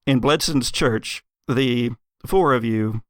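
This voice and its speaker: A man narrating gothic horror as game master, with measured, dramatic, atmospheric storytelling. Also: mid-range voice, fairly bright tone, dry recording, clean studio sound.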